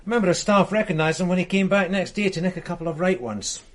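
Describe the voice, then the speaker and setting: An actor playing a patient, deferential police sergeant in a radio drama. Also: male voice, high-pitched, fast, a little hiss or hum. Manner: deferential, calm, mildly weary. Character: patient police sergeant, dutiful junior man.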